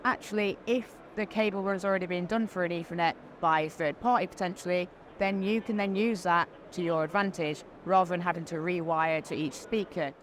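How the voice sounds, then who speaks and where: A woman's voice: on the low side, a little fast, few pauses. A woman giving a conversational product explanation as an interviewed specialist, informal and practical, on a trade show floor. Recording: faint background noise. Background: faint ambient noise.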